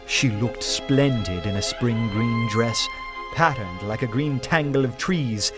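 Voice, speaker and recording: A man playing an earnest, poetic detective who narrates his strange adventure in a radio play with measured, literary wonder. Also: mid-range voice, natural voice. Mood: wonderstruck. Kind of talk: dramatic first-person radio play narration. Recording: loud music under the voice. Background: music.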